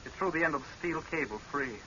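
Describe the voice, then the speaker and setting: An actor playing a young man who is coldly vengeful, deliberate and mocking, in old-time radio horror style. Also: on the high side, lively intonation, sharp tone. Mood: grim triumph. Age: young adult. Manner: deliberate, sardonic, menacingly composed. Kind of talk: 1930s-40s radio horror acting. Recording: muffled, hissy old-time radio recording.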